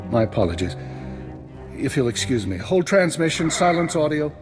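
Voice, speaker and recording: An actor playing a shrewd, formal, dryly polite retired spymaster in a science-fiction video game. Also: male voice, a little high, very animated, moderate pace, music in the background. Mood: politely reassuring. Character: shrewd, unflappable male retired imperial spymaster. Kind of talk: scripted video game acting.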